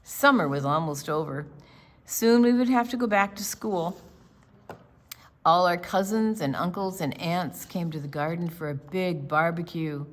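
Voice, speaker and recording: A woman's voice: lowish voice, a little slow. An older woman reading a picture book aloud to children at a library storytime.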